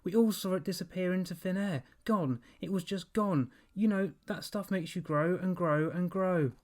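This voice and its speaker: Guy putting on a scared twelve year old boy, high and shouty, freaking out in a spooky storybook. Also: normal speed, close to an everyday voice. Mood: demanding. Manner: panicky, pleading shouts.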